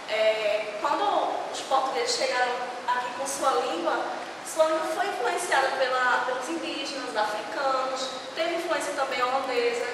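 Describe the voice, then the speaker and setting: A woman being interviewed, stating her opinion firmly. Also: high voice. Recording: echoey hall, distant, noisy.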